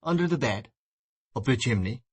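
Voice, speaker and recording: A man's voice: medium pitch, animated, normal speed, slightly rough. A man reading an audiobook voices an enraged, grief-stricken husband in a hard, accusing tone. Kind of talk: audiobook reading of a classic novel.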